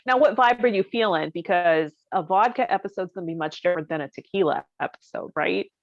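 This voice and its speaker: A woman's voice: fairly low pitch, animated, brisk, dull audio. A woman co-hosting a podcast in casual comedic chat, with a giggly, self-deprecating manner. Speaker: podcast co-host.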